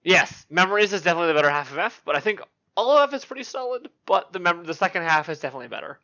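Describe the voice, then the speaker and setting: A man in a casual podcast discussion, talking in an animated, opinionated way. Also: high-pitched, racing. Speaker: podcast guest. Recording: dull lo-fi sound.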